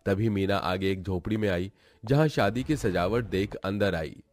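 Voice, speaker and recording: A man's voice: deep voice, moderate pace, faint music behind. A man narrating a scripted cartoon folk tale as storyteller.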